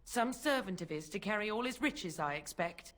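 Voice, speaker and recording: A woman's voice: mid-range voice, animated, moderate pace, a bit bright, natural voice. An actress playing a bitter, suspicious working-class mother in a video game, accusing and scornful in folksy, ungrammatical speech. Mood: scornful, resentful.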